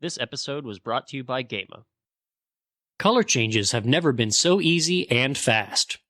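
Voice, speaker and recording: A man's voice: mid-range voice, animated, moderate pace, bright, forceful tone, dry recording, studio quality. A man reading a scripted advertisement as a sponsor announcer. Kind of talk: scripted ad read.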